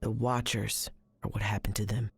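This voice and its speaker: A young man playing a cocky, brash teenage alien boy captain in an animated video game, bantering as he goes. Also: fairly low pitch, normal speed, creaky, husky, heavily acted. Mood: serious, urgent.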